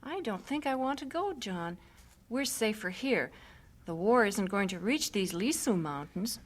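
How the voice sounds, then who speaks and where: Lively, harried missionary mother. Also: medium pitch, measured pace. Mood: worried. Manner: expressive, scolding then coaxing. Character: spirited missionary wife and harried young mother. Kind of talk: radio drama acting.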